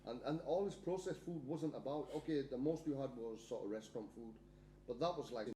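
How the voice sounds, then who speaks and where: A man hosts a podcast in a casual, chatty way, telling little stories from his day. His voice is dark and mellow. The room around him is roomy and echoey, with a faint hum underneath. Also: mid-range voice, fairly quick. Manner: chatty, tells anecdotes. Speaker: podcast host. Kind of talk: casual chat.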